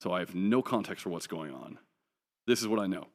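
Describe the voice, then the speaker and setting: A man's voice: low-pitched, fairly quick. A man giving a conference talk from slides, chatty and wry, with plenty of ums and offhand jokes. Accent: none New Zealand. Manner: casual, chatty, wry asides with many ums. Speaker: conference speaker. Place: conference hall.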